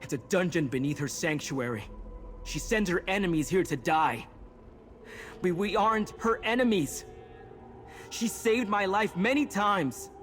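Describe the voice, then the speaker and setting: Earnest young mage, higher-pitched. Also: male voice, unhurried, long pauses, fairly bright tone, soft background music. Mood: shocked, hurt. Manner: earnest, intent, explanatory. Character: earnest young male mage, betrayed yet resolute. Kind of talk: video game character dialogue.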